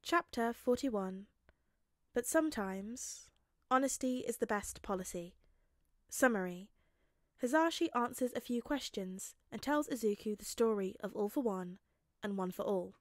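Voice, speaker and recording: A woman reading fiction aloud as narrator, expressive and dramatic, with emphatic pauses. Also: a little high, no room sound, studio quality. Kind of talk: expressive scripted story narration.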